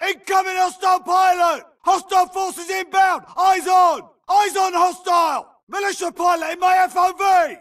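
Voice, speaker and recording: An actor playing a tense infantry soldier in a video game, barking clipped, urgent combat callouts. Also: male voice, very high-pitched, little pitch movement, normal speed, hardly any pauses, fairly bright tone, raised voice, recorded from a distance. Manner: clipped, urgent, radio-code jargon. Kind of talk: shouted military combat callouts.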